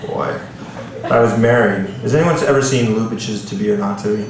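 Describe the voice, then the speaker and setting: A man's voice: fairly low pitch, a little fast, crowd noise. A man, an author, giving a live literary reading at a bookstore with casual asides to the audience. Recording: echoey room, distant mic, background noise.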